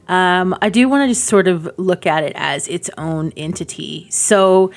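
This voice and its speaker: Chatty woman doing casual gameplay commentary, lower-pitched. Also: measured pace. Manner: chatty, rambling, informal, frequent "like" asides. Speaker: gaming video creator and host.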